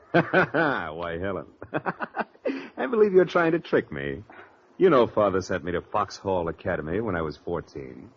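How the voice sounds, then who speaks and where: A cocky young american con man in an old radio mystery, teasing, swingy pitch, muffled sound. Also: sing-song, unhurried, slight background noise. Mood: breezy, teasing. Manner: breezy, teasing. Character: smooth, cocky impostor posing as a long-lost brother. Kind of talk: 1940s radio mystery acting. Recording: muffled vintage radio recording.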